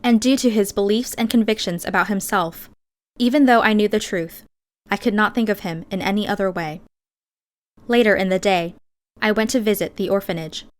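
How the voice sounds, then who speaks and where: A woman reading scripted first-person fiction as an audiobook narrator. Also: medium pitch.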